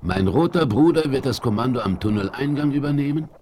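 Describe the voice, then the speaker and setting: A man's voice: mid-range voice, lively intonation, fairly quick, a crowd in the background, lightly acted. A man playing a commanding, ironic frontier hero in a German radio play, with an authoritative manner. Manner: authoritative, crisp orders. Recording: faintly noisy 1970s vinyl rip.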